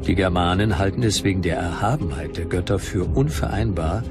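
Man as deep-voiced, formal ancient Roman historian. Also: a little fast, close to an everyday voice. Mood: grave, formal. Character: ancient Roman historian reporting on Germanic customs. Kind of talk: dramatized quotation in documentary. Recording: music behind the voice.

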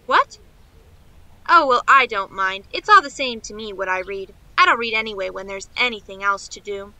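Woman playing a very bright-voiced, gushing young girl. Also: mid-range voice, lively intonation, moderate pace, dry recording, low-quality recording. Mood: breezy. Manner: gushing, rambling, girlish. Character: chatty, naive, romance-struck young niece. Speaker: actress. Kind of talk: radio play acting.

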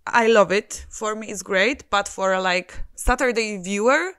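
A woman in her thirties from Poland, speaking with a Polish accent, holding a microphone in a cozy room and reacting to a song with excited exclamations and laughs. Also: mid-range voice, measured pace, clear, resonant tone. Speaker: YouTuber and music journalist. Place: cozy living room with fairy lights, indoors. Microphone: handheld microphone.

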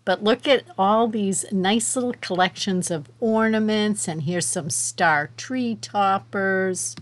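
A woman's voice: mid-range voice, takes it slowly. A woman narrating a shop-with-me vlog inside a store, filming on a handheld phone and gushing over the items she finds. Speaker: shopping vlogger. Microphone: handheld phone camera.

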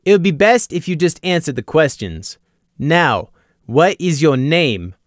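Stern raspy male guard with fangs, commanding and lively in tone, as a male narrator puts him on. The voice is dry and close. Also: a little high, measured pace, dry recording, voice pushed up.

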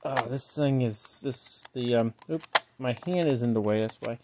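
A man giving a casual, unscripted product walkthrough in a halting, rambling way, often trailing off, recorded indoors on a handheld phone. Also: medium pitch, background noise. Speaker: collector showing a yard-sale cassette player. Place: indoors, close over a tabletop. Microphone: handheld phone he is filming with.

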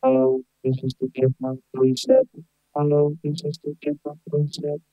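A man's voice: fairly high pitch, completely flat delivery, normal speed, dark tone, no room sound, soft background music, voice pushed up, low-quality recording. A man speaking through a vocoder as a robotic synthesizer voice. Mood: repeating test phrase.

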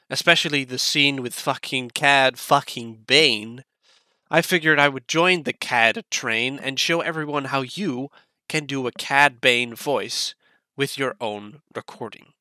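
A man's voice: medium pitch, pushed and bright, dry recording. A man hosting a tutorial, introducing it in a casual, informal, sweary way. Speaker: tutorial host.

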